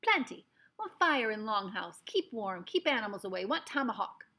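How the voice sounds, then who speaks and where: A woman's voice: mid-range voice, very animated, moderate pace, pushed and bright, full character voice. A woman voicing a proud, demanding miniature man who speaks in short, clipped, broken phrases.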